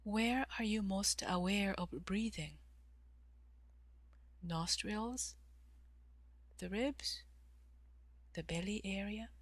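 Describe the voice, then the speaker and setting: Slow, soft-spoken woman guiding meditation. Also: mid-range voice, no room sound. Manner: calm, measured, with long pauses between short phrases. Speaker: meditation guide, therapist. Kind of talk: guided meditation, slow instruction.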